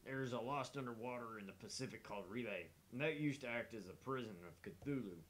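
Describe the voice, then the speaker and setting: A man's voice: fairly low pitch, fairly bright tone, rough recording. A man game streamer reading a visual novel aloud in a casual storytelling style. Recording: faint background noise with clicks.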